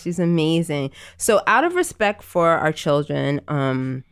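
A woman with a low-pitched voice hosts a relaxed podcast conversation. She talks in a loose, chatty way and says "like" often. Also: unhurried, clear, resonant tone. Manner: chatty, fills speech with "like". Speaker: podcast host.

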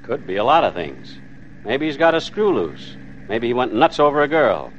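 A man playing a steady, folksy husband in an old radio suspense drama, understated and plainspoken. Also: mid-range voice, moderate pace, a little hiss or hum, natural voice. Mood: calm reassuring. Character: steady, folksy husband and father narrating his ordeal. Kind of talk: 1940s radio suspense narration and acting. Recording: muffled old radio recording.

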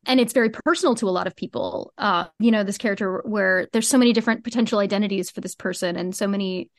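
A woman's voice: medium pitch, fast, dry recording. A woman speaking as a podcast guest in casual, earnest, emphatic interview chat. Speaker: podcast guest, fiction podcast co-creator.

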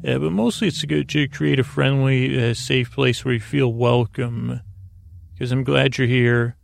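A man hosting a sleep podcast, rambling off the cuff in a halting, meandering way with stammers and repeated words. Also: medium pitch, steady tone. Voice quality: creaky, gravelly. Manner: deliberately slowed-down delivery. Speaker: podcast host.

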